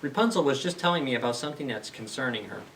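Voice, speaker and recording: A man's voice: mid-range voice, animated, quick pace, a bit bright, far from the mic, a little hiss or hum, poor audio. A man hosting a story time for young children, reading aloud and explaining things simply with questions to the listeners.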